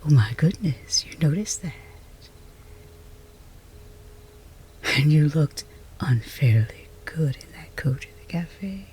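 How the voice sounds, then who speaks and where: A woman playing a flustered, lovestruck chess rival in a romance roleplay ASMR, whispery and intimate, with halting, teasing delivery. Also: deep voice, animated, mellow, slight background noise. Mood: confessing, flustered. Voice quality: breathy, whispery.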